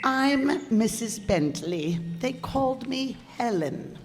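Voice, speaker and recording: A woman's voice: a little high, expressive, slow. A woman acting a proud, flustered 75-year-old widow in a radio play, with formal, stammering speech. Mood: gently chatty. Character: elderly widow, 75, indignant, wistful, proud of her girlhood. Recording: echoey hall, noisy live stage recording.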